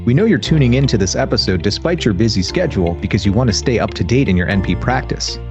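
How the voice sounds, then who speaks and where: Smooth announcer-style male podcast host. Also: lowish voice, expressive, fast, lo-fi sound. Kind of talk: scripted ad read and interview questions. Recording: music bed under the voice.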